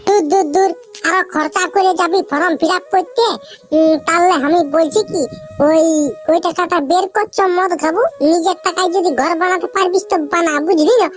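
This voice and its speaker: A squeaky, extremely high cartoon village fellow grumbles and teases in thick Purulia dialect. The delivery is exclamatory and comic, with faint music underneath. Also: steady tone, normal speed. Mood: scornful. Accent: Purulia, West Bengal.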